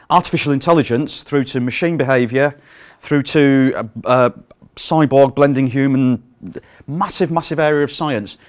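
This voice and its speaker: British man, middle-aged, conference speaker, projecting voice, slightly high pitch, filler words. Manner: projecting to fill a room without a sound system; lively, frequent ums and uhs. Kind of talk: live conference talk. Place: conference room. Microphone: no microphone.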